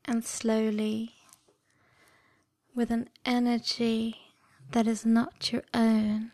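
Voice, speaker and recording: A woman in a scripted hypnosis ASMR style, mostly whispering breathily in a hushed, deliberate, soothing yet commanding manner. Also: fairly high pitch, completely flat delivery, no room sound, studio quality. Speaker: erotic hypnosis audio performer.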